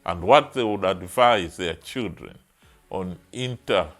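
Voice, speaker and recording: A man's voice: low voice, expressive, a little slow, a bit bright. A man reading scripted documentary narration. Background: music.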